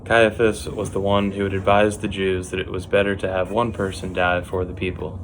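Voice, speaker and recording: A man's voice: sits low, completely flat delivery, moderate pace, a little hiss or hum, low-quality recording. A man reading a Bible passage aloud as a lay reader. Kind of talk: scripture reading.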